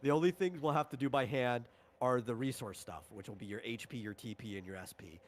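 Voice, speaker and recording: A man's voice: mid-range voice, a little fast. A man talking casually on a livestream as a tabletop game designer, explaining rules in a rambling, informal way.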